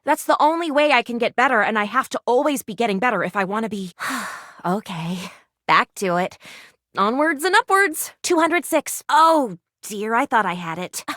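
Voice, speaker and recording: A woman's voice: fairly high pitch, animated, normal speed, a bit bright, dry recording, clean studio sound. A woman voicing an eager, theatrical first-aid kit in a video game, prim and chirpy with old-fashioned, formal phrasing. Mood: excited, eager. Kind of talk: video game character voice acting.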